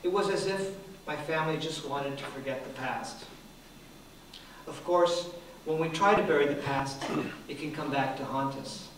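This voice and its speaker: Middle-aged man from Japan, author reading his memoir aloud, dry and wry, slightly high voice, recorded from a distance in a very echoey hall. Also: moderate pace, noisy background. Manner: dry, self-deprecating reading with soft laughs. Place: large public hall. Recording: very echoey hall from far off, faint ambience.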